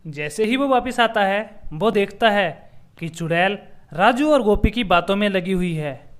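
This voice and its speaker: A man's voice: high voice, animated, a little slow. A man narrating a scripted children's story as the storyteller.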